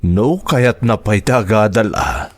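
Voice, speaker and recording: A man acting as a disheartened, apologetic middle-aged uncle in an Ilocano radio drama, with a Filipino accent and halting, heartfelt delivery. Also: fairly low pitch, no room sound, studio quality. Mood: disheartened, resigned. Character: regretful, disheartened uncle of a graduate, humbled and apologetic.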